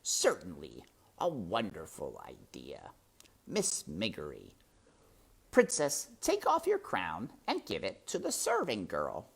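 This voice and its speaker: A woman's voice: rumbling low, huge pitch swings, takes it slowly, vocal fry, slightly rough, exaggerated voice. A woman voicing a scheming male rat who is curt, oily and dismissive. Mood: commanding, oily.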